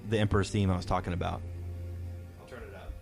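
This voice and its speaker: Man, fairly deep voice, casual podcast host, enthusiastic, slangy, soft background music. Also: fairly even intonation, fast, gravelly. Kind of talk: casual fan discussion. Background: music playing faintly.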